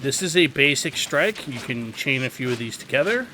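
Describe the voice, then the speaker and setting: A man's voice: medium pitch, animated, normal speed, noisy background. A man giving a casual, instructive video game tutorial in an opinionated, emphatic way. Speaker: video game guide host.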